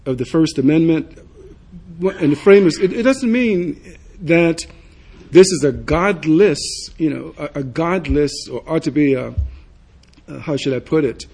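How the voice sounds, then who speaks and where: A man, a student on a panel at a public forum, speaking haltingly and restarting words. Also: a little high, vocal fry, a little mellow, breathy edge.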